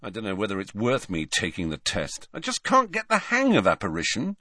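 A man's voice: on the low side, huge pitch swings, a little fast, bright, forceful tone, no room sound, clean studio sound, full character voice. A man voicing a grumbling, teasing teenage schoolboy in an audiobook, blunt and sardonic. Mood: discouraged.

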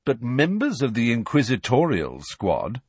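A man's voice: mid-range voice, wildly swooping pitch, unhurried, husky, no echo, voice pushed up, heavily acted. A man reading an audiobook, voicing a smug, spiteful teenage boy bully with a taunting drawl. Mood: sneering drawl.

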